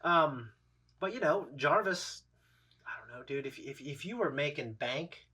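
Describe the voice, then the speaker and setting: Man, thirties, bright forceful voice, casual discussion, rambling, blunt, sweary. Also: on the high side, expressive, unhurried, slightly rough. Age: 30s. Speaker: reaction show host. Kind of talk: casual chat, episode discussion.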